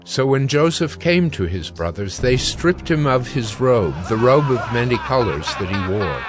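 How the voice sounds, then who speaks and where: A man narrating scripture in a measured, solemn storytelling style. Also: medium pitch, very animated, music in the background. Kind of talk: scripted dramatic Bible narration.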